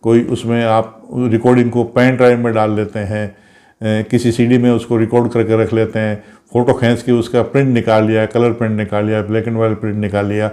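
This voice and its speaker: A man's voice: lowish voice, level tone, moderate pace, warm tone. A man giving an informal explanatory lecture as a law teacher, didactic and repetitive, posing questions and answering them.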